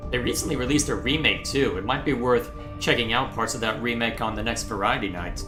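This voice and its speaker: A man from Texas talks through a puzzle game live, musing aloud at an easy pace. His voice sits in the middle range and rings off the walls of a roomy space, with soft music playing underneath. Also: medium pitch. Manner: thinking aloud, musing and unhurried. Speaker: livestream host playing a game. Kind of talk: casual live gameplay commentary.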